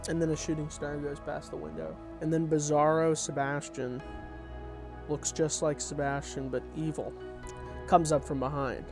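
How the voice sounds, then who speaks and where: A man's voice: on the high side, little pitch movement, moderate pace, creaky. Wry young man reading over music. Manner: wry, off-the-cuff asides between scripted lines. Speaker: content creator reading own script. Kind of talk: informal table read of a comedy script.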